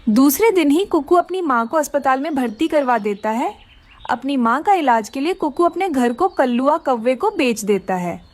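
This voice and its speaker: A woman's voice: high voice, expressive, normal speed. A woman narrating a scripted children's story.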